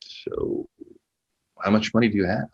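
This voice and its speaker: Man playing a nosy, husky-voiced, low-pitched talker. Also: fairly even intonation, measured pace, muffled recording, full character voice. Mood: probing, needling. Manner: probing, needling, conversational. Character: nosy, teasing former literary agent, a talkative male stranger. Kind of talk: naturalistic stage play dialogue.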